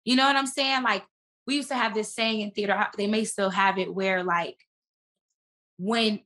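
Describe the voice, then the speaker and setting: A woman with a bright, forceful voice speaks as a guest in a casual podcast chat. She is an actress who tells things in a slangy, emphatic way, with plenty of animation. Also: medium pitch, steady tone, moderate pace. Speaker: guest, stage and screen actress.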